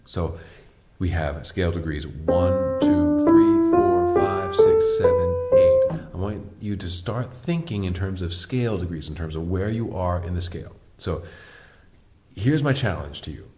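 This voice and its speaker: A man with a deep voice teaching a piano lesson, patiently explaining step by step and asking the viewer questions. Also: lively intonation, a little slow. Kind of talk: online music lesson.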